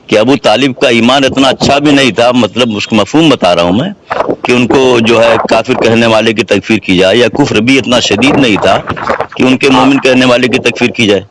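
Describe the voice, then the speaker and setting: A man's voice: medium pitch, a bit bright, slight background noise. Man debating politely but insistently by phone. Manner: deferential but insistent, rhetorical questioning. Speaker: caller questioning a mufti. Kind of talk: formal religious debate on a phone call. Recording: phone call recording.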